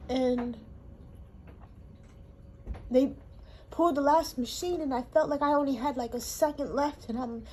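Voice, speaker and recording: A woman from Hawaii, telling her life story in a halting, emotional way with pauses. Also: high voice, darkish tone, poor audio. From Honolulu, Hawaii. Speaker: interviewee. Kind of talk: personal testimony.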